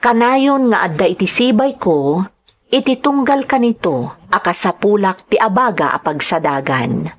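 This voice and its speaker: An earnest young daughter acted by a woman in an Ilocano radio drama. She tells her story and speaks her lines conversationally, in a mid-pitched young adult voice. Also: animated, moderate pace, close to an everyday voice. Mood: wistful, earnest. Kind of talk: Ilocano radio-style drama narration and dialogue.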